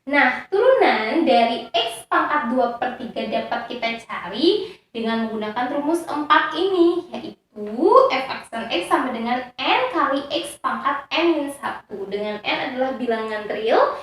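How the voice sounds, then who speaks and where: Woman math teacher, patient and repetitive, slightly high voice, recorded from a distance in an echoey room. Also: lively intonation, unhurried, fairly bright tone. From Indonesia. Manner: patient, repeats steps, checks with students. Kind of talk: recorded math lesson for students. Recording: roomy echo, voice from far off.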